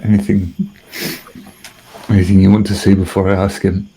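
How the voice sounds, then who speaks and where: Low, gravelly man. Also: little pitch movement, moderate pace, dark tone, poor audio. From Britain. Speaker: game master. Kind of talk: guiding an improvised tabletop game.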